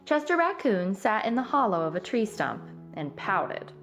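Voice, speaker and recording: A woman narrating a children's storybook aloud in an expressive read-aloud style. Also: mid-range voice, normal speed, soft background music. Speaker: storybook reader.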